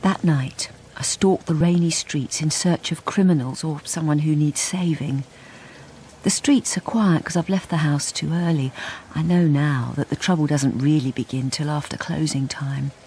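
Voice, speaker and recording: Low, creaky-voiced invisible wife. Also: female voice, normal speed, dark tone, a little hiss or hum. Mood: wry, subdued. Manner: hesitant, confiding, understated. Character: lonely invisible middle-aged wife narrating, wistful and confiding. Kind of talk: first-person radio drama narration.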